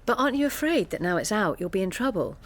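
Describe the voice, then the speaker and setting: An actress playing a persistent, cheeky young former student in a radio drama, probing and teasing. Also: female voice, medium pitch, sing-song, fast. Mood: incredulous, probing. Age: young adult.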